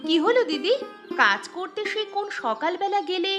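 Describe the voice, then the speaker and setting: A woman acts a young village housewife in a cartoon tale. She is high-pitched, snappy and quarrelsome, always scolding, over clearly heard music. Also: big pitch swings, normal speed. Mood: scolding, indignant. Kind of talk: Bengali cartoon fairy-tale dubbing.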